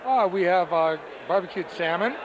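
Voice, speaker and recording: A relaxed male chef with a fairly high voice, casually walking through a list of dishes at a banquet. The camcorder audio picks up plenty of party noise behind him. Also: moderate pace, highs cut off. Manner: relaxed, listing items. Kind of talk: casual chat, describing dishes. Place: indoor banquet hall at a party.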